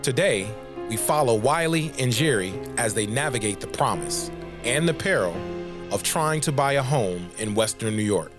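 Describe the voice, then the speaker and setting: A male podcast host giving scripted narration in a measured, emphatic way before he interviews his guests. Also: mid-range voice, animated, music in the background.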